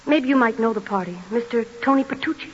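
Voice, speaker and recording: A woman's voice: a little high, quick pace, close to an everyday voice. A woman playing a timid rustic young woman. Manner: timid, folksy speech. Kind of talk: 1940s radio acting. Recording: dull old radio broadcast with faint hiss.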